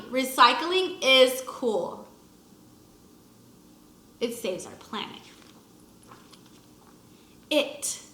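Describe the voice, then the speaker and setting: A woman's voice: high-pitched, pushed and bright, reverberant. A woman teacher reading a children's book aloud expressively to young pupils, acting out the lines and adding short explanations. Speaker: first grade teacher.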